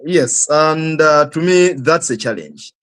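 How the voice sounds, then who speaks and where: Ugandan guy hosting a panel, halting and thoughtful, lots of uh's. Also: fairly high pitch, animated, a bit bright. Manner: halting, many fillers, thoughtful. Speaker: host. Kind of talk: hosting a discussion.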